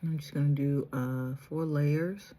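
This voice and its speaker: A woman vlogger chatting casually through a makeup tutorial, soft-spoken and trying to project with a sore, strained throat. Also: low voice, steady tone, measured pace. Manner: chatty, addresses viewers directly, trails off and restarts. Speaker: beauty vlogger.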